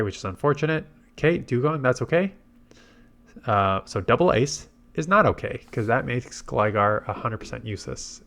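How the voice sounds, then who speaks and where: A man's voice: on the low side, vocal fry, a bit bright. Stuffed-up man commentating on gameplay. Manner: thinks aloud through tactics. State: congested with a head cold. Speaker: game commentator and video host.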